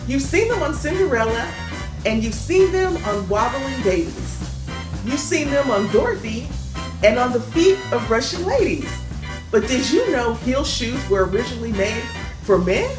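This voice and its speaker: A woman's voice: fairly high pitch, animated, moderate pace. A woman narrating a fun-fact segment with a playful, sing-song rhyming delivery. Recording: echoey room with music behind.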